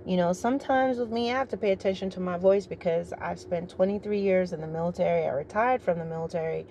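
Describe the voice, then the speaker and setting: Middle-aged woman, retired soldier type, deep rich low voice, formal measured monologue. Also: mellow, lo-fi sound, a little hiss or hum. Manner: measured, formal briefing-like delivery. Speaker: retired military vlogger. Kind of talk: opinionated monologue to camera.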